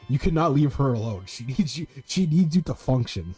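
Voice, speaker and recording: A man's voice: mid-range voice, animated, moderate pace, dark tone, slightly rough, soft background music. A man streaming a game with casual, slangy commentary and plenty of swearing. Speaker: gaming streamer.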